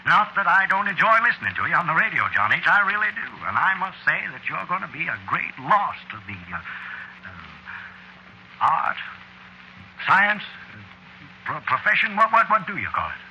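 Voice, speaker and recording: A fussy, macabre coffin salesman from an old radio play. His pitch is highish and swoops freely as he dithers and chuckles with unctuous cheer. The sound is a noisy vintage broadcast recording. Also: very animated, normal speed, long pauses, pushed and bright, full character voice. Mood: cheerful, matter-of-fact. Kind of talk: vintage radio drama acting.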